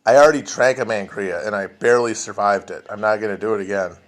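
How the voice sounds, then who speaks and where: A man's voice: fairly low pitch, fast. A man rambling and swearing as he chats alone to a livestream, full of restarts and trailing asides. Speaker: podcast host answering chat. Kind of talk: casual livestream chat. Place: home, alone at a phone or computer.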